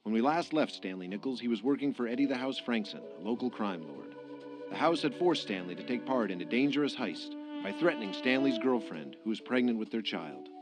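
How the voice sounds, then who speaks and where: A man's voice: mid-range voice, expressive, brisk, rough recording. Male radio drama narrator over music. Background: prominent music.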